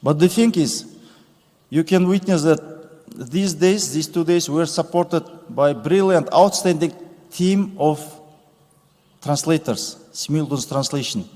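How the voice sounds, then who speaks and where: A man, giving a formal conference speech with slow, deliberate phrasing and pauses. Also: fairly high pitch, mellow. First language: Kazakh. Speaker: conference speaker. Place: large conference hall stage. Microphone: podium microphone. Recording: reverberant conference hall.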